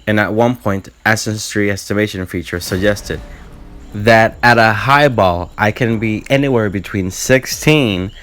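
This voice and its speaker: A man's voice: on the low side, unhurried, fairly bright tone, faint music behind. A man from Puerto Rico talking to camera in an earnest, explanatory way, reading prepared lines for his vlog. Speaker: vlogger. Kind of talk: scripted talk to camera.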